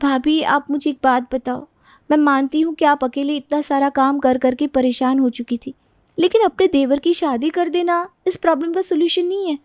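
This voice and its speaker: A woman voicing a feisty, argumentative young daughter-in-law in an animated family story, lecturing in an exasperated manner. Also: high voice, normal speed, no room sound, clean studio sound, lightly acted. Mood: reproachful.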